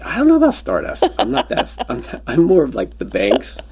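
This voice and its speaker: A man's voice: medium pitch, huge pitch swings, brisk, darkish tone, slightly rough. A man from Pennsylvania whose first language was Pennsylvania Dutch, a podcast guest telling rambling, joking stories about himself. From Pennsylvania, USA. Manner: joking, rambling anecdotes with self-deprecating humor. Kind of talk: casual conversational storytelling.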